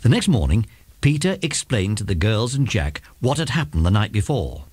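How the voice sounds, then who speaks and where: A man's voice: lowish voice, big pitch swings, normal speed, a little mellow, husky, no echo. A man narrating a children's story from a script.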